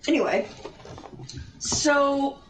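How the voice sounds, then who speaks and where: A woman's voice: high-pitched, extremely slow, far from the mic, slight background noise. A young woman telling a casual, sweary story while gaming, rambling and easily sidetracked. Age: young adult. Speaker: storyteller and gamer. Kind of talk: casual storytelling while playing a video game. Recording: roomy echo with music behind.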